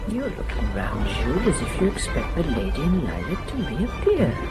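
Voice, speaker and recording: An actress playing a middle-aged inspector's wife in a radio drama, observant, frank and knowing. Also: female voice, low-pitched, huge pitch swings, normal speed, over loud music.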